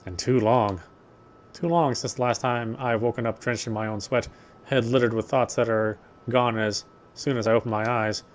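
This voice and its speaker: A man reading a horror game story aloud, with expressive, lingering narration. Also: medium pitch, normal speed, a little hiss or hum. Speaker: let's player reading a visual novel aloud.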